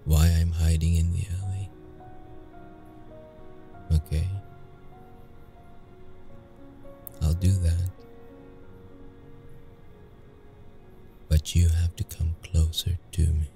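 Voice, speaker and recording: A deep-voiced, brooding male vampire, acted by a man for an ASMR roleplay, wounded yet seductive. He speaks in a dark, mellow tone with heavy gravel, keeping it intimate and guarded. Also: little pitch movement, soft background music. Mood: coaxing, intimate. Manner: slow, halting, intimate delivery. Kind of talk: ASMR audio roleplay acting.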